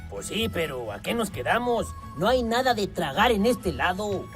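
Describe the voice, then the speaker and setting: A man voicing a slow-witted, laid-back opossum in an animated comedy, drawling and rustic. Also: sits high, soft background music. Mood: dim, resigned.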